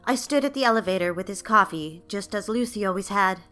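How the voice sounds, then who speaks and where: A woman's voice: mid-range voice, animated, a little fast, pushed and bright. A woman playing a yearning secretary, confiding her diary in scripted first-person narration. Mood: wistful. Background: music.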